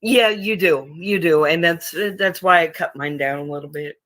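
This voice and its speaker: A woman in her fifties chatting casually on a livestream panel, rambling with halting restarts. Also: on the low side, fairly bright tone, no room sound. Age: 50s. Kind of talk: casual group chat.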